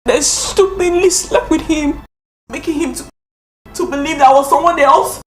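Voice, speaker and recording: A woman's voice: high voice, moderate pace, lightly acted. A woman playing a distraught, defensive young woman. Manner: defensive, on the verge of tears. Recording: echoey room.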